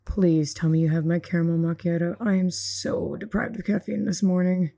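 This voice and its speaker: A woman's voice: on the low side, fairly even intonation, moderate pace, dark tone, close to an everyday voice. A woman acting a nosy, caffeine-starved co-worker in an audio drama, with a dry, prying manner. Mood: teasing, curious.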